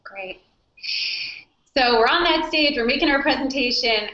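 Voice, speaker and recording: Woman host asking questions, sharp bright voice, roomy tinny call audio. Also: expressive, normal speed. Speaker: interviewer hosting a hangout. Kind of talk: interview questions. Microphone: video call. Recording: echoey low-fi video call audio.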